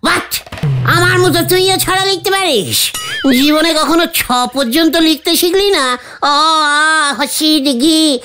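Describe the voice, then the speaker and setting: Boastful cartoon show-off: theatrical, mocking, highish voice, drawn-out animated delivery. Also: female voice, faint music behind, exaggerated voice. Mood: scornful, mocking. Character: boastful, bossy, comic village rhymester, cartoon character. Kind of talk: comic cartoon dialogue.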